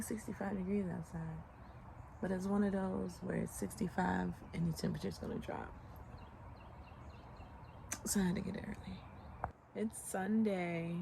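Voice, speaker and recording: A woman's voice: medium pitch, noisy background. A woman in her late twenties chatting casually and reflectively outdoors in the country, rambling with lots of fillers. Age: late 20s. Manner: relaxed, rambling, many "like" fillers. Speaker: vlogger. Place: outdoors on a sunny morning in the country. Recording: clear outdoor ambience behind.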